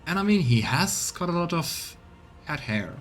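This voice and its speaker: A man's voice: a little high, very animated, normal speed, a bit bright, soft background music. A man streaming a game, reading its text aloud as narration and adding casual comments of his own. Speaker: streamer, let's player.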